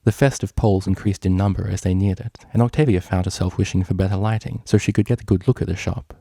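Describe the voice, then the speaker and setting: A man narrates a story in a low, gravelly voice with a dark, mellow tone. The sound is close and dry, as he reads scripted prose. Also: fairly even intonation, quick pace, no echo. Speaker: fan fiction reader.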